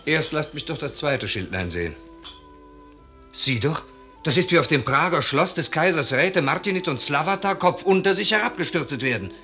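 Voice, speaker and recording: A man playing a curious, brisk soldier in a 1950s German radio drama. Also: fairly high pitch, expressive, pushed and bright. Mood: eager. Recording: 1950s radio recording, music behind.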